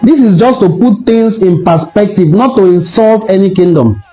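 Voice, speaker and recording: A man's voice: sits high, expressive, moderate pace, dark tone, soft background music, rough recording. A man with a Nigerian accent giving an impassioned, argumentative online talk full of rhetorical questions. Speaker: cultural commentator.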